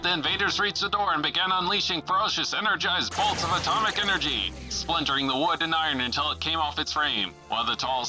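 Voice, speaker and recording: A man's voice: a little high, poor audio. A man giving dramatic scripted narration for an old-time adventure serial, in a theatrical announcer manner.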